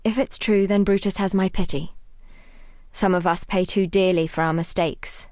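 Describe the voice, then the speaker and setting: Actress as a solemn, weary young healer, dry recording. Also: female voice, lowish voice, steady tone, normal speed, a little mellow, no room sound, close to an everyday voice. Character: devout, weary young woman healer, exiled on a grim coast. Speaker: non-player quest giver. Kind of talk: dramatic fantasy game dialogue.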